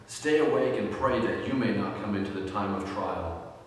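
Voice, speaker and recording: A man reads as a solemn, grieving male teacher in a Passion play. The voice is low and deliberate, heard from far off in a hugely reverberant hall. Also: natural voice. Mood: reproachful, urgent. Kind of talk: dramatic scripture reading. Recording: very echoey hall, distant mic, faint noise.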